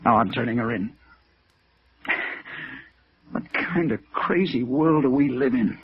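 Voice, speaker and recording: A man's voice: medium pitch, measured pace, slight background noise, lightly acted. An American actor playing a flustered, defensive man in love, faltering through a 1950s radio drama scene. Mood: defeated, bewildered. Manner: hesitant, indignant, faltering. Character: flustered, lovesick, defensive man who gives in. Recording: dull vintage radio recording.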